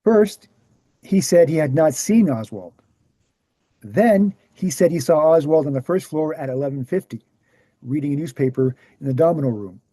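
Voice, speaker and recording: Man acting as a mellow, dark-voiced earnest author-lecturer. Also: fairly high pitch, expressive, moderate pace, dry recording. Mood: measured, factual. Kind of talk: screenplay table read, explanatory lecture.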